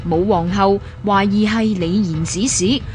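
A woman's voice: medium pitch, steady tone, measured pace, darkish tone. A woman with a Hong Kong Cantonese accent reading scripted historical narration. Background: background music.

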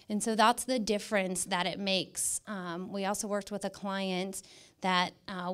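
An American woman in middle age addresses an event from an indoor stage, holding a microphone. Her voice sits at an average pitch. She presents in an emphatic, encouraging public-speaking style, repeating words to drive them home. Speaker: guest speaker, image consultant. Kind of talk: motivational public talk. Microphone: handheld microphone.

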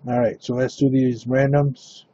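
A man hosting a live trading card break, announcing cards and teams in a steady, matter-of-fact way. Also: mid-range voice, a little slow, muffled recording.

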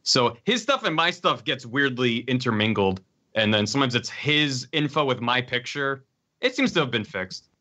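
A man's voice: medium pitch, lively intonation, normal speed, bright, forceful tone, highs cut off. A thirty-year-old man, a guest on a casual livestream chat, talking in a dry, self-deprecating way.

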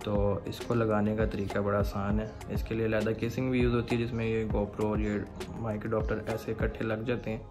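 A man's voice: lowish voice, level tone, moderate pace, few pauses, over music. A young man with a Pakistani Urdu accent gives a casual how-to on his motorcycle camera and mic setup, checking in often with the viewer. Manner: explanatory, keeps asking "theek hai" to check in. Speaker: motovlogger. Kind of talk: casual gear tutorial.

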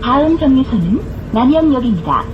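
A woman's voice: high-pitched, sing-song, brisk, muffled recording, music in the background. A woman reading scripted public transit announcements in a formal, carefully enunciated way, as a bus stop announcer. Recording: clear vehicle noise throughout. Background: bus interior noise.

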